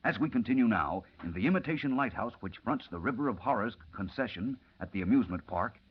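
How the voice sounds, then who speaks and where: A man's voice: on the low side, animated, fast talker, slightly rough. A man giving formal, suspenseful scripted narration in the style of a 1940s radio serial. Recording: muffled old-time radio recording.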